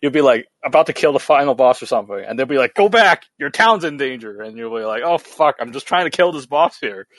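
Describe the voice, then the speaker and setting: A man's voice: medium pitch, animated, fast talker, hardly any pauses, a bit bright, dry recording. Opinionated man. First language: Cantonese. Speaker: podcast co-host. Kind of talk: casual podcast chat.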